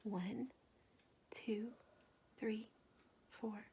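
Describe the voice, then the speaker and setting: A woman reading a picture book aloud to children like a teacher, gently pausing to ask questions and point things out. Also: mid-range voice, fairly even intonation, noisy background.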